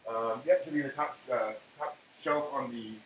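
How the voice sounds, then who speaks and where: A man's voice: medium pitch, normal speed. A man hosting a casual live cooking demonstration, chatting and explaining each step as he works. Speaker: cooking live-stream host. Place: indoor home kitchen. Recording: echoey room with clear background noise.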